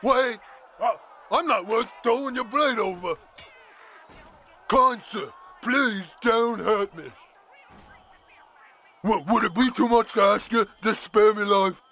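A man's voice: sits high, takes it slowly, long pauses, pushed and bright, a little hiss or hum, exaggerated voice. A man playing a young medieval peasant in a video game, theatrical, timid and overly polite, with a British accent. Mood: pleading. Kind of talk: video game character voice lines.

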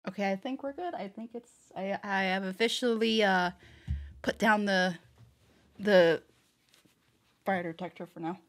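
A woman hosting a reaction video, giving casual, expressive commentary with frequent exclamations. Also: mid-range voice, a little slow, pauses often. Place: own room. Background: music from the watched animation.

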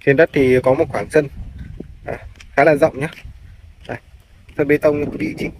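A man's voice: a little high, fairly even intonation, moderate pace, poor audio. A man working as a real estate agent narrates a walk-through tour of farmland, politely addressing viewers, filmed on a handheld phone. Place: outdoors, walking through farmland. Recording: clear background noise, outdoor phone video.

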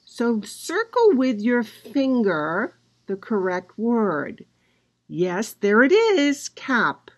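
Patient woman teacher, talks very slowly, drawing out each step for young learners. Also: on the high side, sing-song. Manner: very slow, patient, drawn-out instructions. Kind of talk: guiding children through a worksheet.

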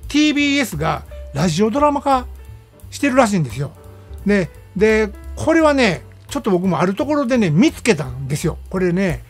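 A man with a Kansai accent hosting a radio show, chatting casually and emphatically as he reviews films in a monologue. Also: sits high. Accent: Kansai Japanese. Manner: chatty, emphatic, peppering phrases with "ne".

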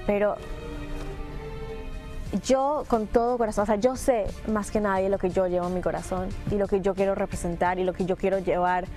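A woman's voice: medium pitch, steady tone, darkish tone, faint music behind. A young woman in her twenties from Panama, answering interview questions earnestly with frequent restarts. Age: 20s. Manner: earnest, fast, many restarts. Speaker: pageant winner, interviewee. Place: TV studio set.

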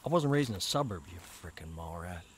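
An actor playing a deadpan, wisecracking, rough-edged soldier in a video game. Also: male voice, low voice, brisk. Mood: wry, gruff. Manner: dry, wisecracking. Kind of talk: video game dialogue acting. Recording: noisy jungle ambience.

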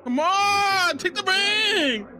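Young male reactor, animated and slangy, unhurried pace, swinging pitch, music underneath. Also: rough recording. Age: young adult. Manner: excitable, profane, slangy. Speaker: reaction video co-host. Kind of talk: casual film reaction commentary. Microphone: close studio microphone with headphones.

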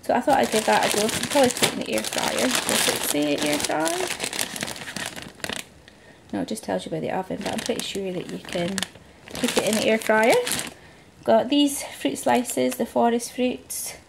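A woman, chatting casually through a grocery haul voice-over, relaxed and full of ums. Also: a little high, normal speed, gravelly, heavy background noise. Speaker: vlogger.

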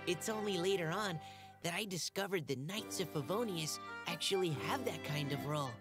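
A woman's voice: on the low side, lively intonation, moderate pace, lightly acted. A woman voicing an earnest, polite, eager young male knight surveyor in a fantasy video game. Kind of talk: video game character voice acting. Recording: game audio with loud music behind.

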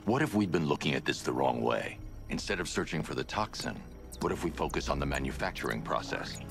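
A man voicing a grim, commanding masked vigilante in a video game, terse and stern with a gravelly voice. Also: very deep voice, lively intonation, fairly quick, music in the background, exaggerated voice. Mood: grim, focused.

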